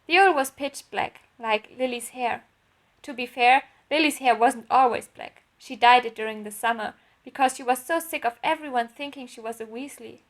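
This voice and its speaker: A woman's voice: fairly high pitch, moderate pace. A woman reading her own written story aloud as a narrator, in an audiobook style.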